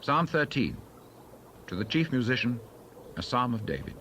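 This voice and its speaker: Bright-voiced man formally announcing, lively intonation. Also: medium pitch, moderate pace, muffled recording, a little hiss or hum. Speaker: announcer of psalm titles.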